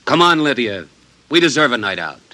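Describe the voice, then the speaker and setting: A man's voice: mid-range voice, very animated, fairly quick, heavy background noise, lightly acted. An actor playing an indulgent, defensive suburban father and husband in an old-time radio drama. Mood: proud, breezy.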